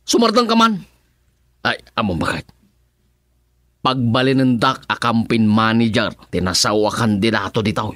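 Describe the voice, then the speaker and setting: Breezy Ilocano village husband played by an actor, dry sound. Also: male voice, lowish voice, expressive, normal speed, long pauses, fairly bright tone, dry recording. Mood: breezy, boastful. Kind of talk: Ilocano radio comedy-drama acting.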